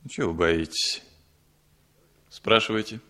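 A deep-voiced guarded man in his thirties, slow, smooth resonant tone, radio play. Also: bright, forceful tone. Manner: measured, guarded. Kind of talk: 1960s radio drama acting.

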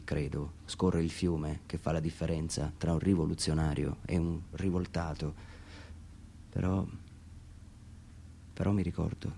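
Guy w a deep gravelly voice reading a reflective monologue, measured, short phrases w pauses. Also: mellow. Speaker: author reading own text. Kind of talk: literary monologue reading, radio theatre.

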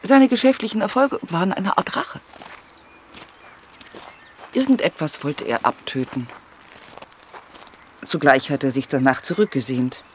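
A man's voice: on the high side, measured pace, pauses often, slight background noise. An actor playing a probing, inquisitive man who speaks precisely and asks questions in radio play dialogue. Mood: probing, composed. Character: probing, inquisitive conversation partner discussing a client.

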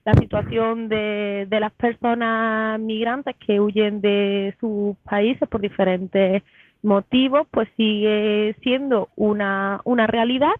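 A woman's voice: medium pitch, fairly even intonation, slow, dry recording. A woman answering as an interview guest over a call. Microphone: phone or online call. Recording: tinny narrow phone-like line.

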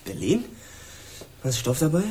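A battered young man dazed and sick after a beating, in a radio crime play. He mutters terse, evasive answers in a subdued voice of middling pitch. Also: big pitch swings, normal speed, dark tone, a little hiss or hum. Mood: sullen. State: dazed and nauseous. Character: battered young male drug user, evasive and sullen. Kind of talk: radio drama acting.

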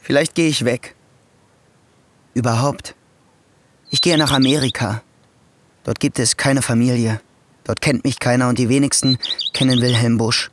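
A man's voice: medium pitch, steady tone, moderate pace, pauses often, noisy background, natural voice. An actor playing a resentful, debt-ridden young man who talks in a bitter, mocking, self-pitying way in a radio drama. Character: broke, resentful young male nephew. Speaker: new schoolmaster, the nephew.

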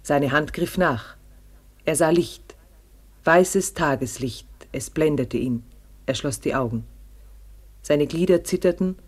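Higher-pitched sober storyteller. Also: male voice, breathy edge. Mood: grave, tense. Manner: sober, measured, short sentences. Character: grave storyteller narrating a survival ordeal. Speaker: narrator. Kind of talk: scripted radio drama narration.

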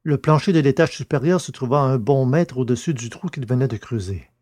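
A man reading scripted science fiction narration in a measured, literary way. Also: medium pitch, darkish tone, no echo, clean studio sound. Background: music.

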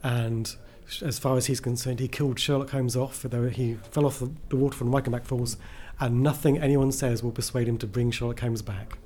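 A man with a dark, mellow voice at an average pitch talks as an interviewed writer. He is casual and enthusiastic, interrupting himself as he rambles along. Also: fairly even intonation, fairly quick, hardly any pauses, slight background noise.

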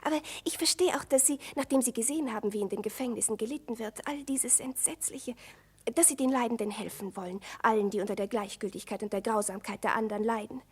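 High-voiced gushing society lady. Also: fast, slightly rough. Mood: effusive, admiring. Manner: effusive, theatrical, genteel. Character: gushing aristocratic society lady, eager to seem understanding. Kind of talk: 1960s German radio drama acting.

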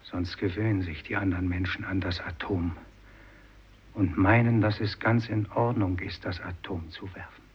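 A man plays a resolute, solemn fisherman in a vintage German radio drama, facing death with grave insistence. The voice sits low, and the old recording is dull with some noise. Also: fairly even intonation, normal speed, lightly acted. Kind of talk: 1950s German radio play acting.

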